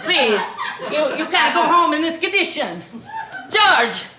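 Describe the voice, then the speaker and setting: A woman's voice: sits high, very animated, unhurried, roomy sound, noisy background, pitched above the performer's own voice. A woman doing a fretful kindly bar owner. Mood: worried.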